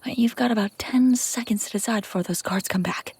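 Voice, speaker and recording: A woman's voice: mid-range voice, brisk, slightly rough, no room sound. A woman playing a brusque, sardonic but secretly caring female bounty hunter in a scripted fantasy audio roleplay, speaking straight to the listener. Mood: sardonic, mocking. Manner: sarcastic, teasing, often dropping to hushed urgency.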